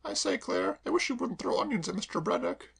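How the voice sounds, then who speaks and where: Gentle young lady of the house, raised voice, a man playing her, crisp bright tone. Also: high-pitched, big pitch swings, brisk, pitched above the performer's own voice, full character voice. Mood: patient. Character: young warm-hearted mistress of the house, patient.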